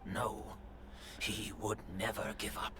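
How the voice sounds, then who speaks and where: A man's voice: on the low side, completely flat delivery, background noise. A man voicing a grave, weary, knowing master in a dramatic video game. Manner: measured, solemn.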